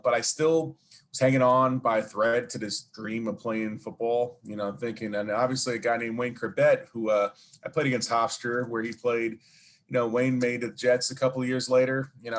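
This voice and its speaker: A man with a Brooklyn accent, a guest telling long stories in an interview, rambling with many fillers and asides. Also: mid-range voice. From New York. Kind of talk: casual storytelling interview.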